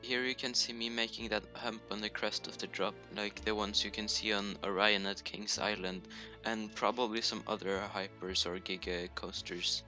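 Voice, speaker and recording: A man's voice: fairly low pitch, fairly even intonation, moderate pace, sharp tone, soft background music. A man with a Norwegian accent, speaking English as a second language, giving a casual voice-over for a screen recording of his video game build, with joking self-deprecating asides over poor home-mic audio. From Norway. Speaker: YouTuber. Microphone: home computer mic, poor audio.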